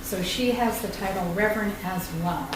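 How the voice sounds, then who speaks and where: A woman making church announcements in a slow, friendly manner. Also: medium pitch. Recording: echoey room, far from mic, noisy.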